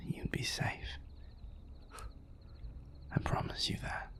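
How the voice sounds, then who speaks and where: A man playing a seductive, hungry vampire in an intimate audio roleplay, courteous and deliberate, with a breathy, gravelly voice. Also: fairly bright tone, in a whisper. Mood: seductive, intense. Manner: slow, deliberate, courtly endearments.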